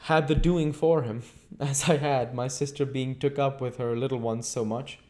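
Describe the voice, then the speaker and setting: Man putting on a high-voiced chatty country landlady. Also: steady tone, moderate pace, darkish tone, natural voice. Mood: earnest, rambling. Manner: rambling, rustic, folksy phrasing. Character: chatty, deferential country landlady, middle-aged woman.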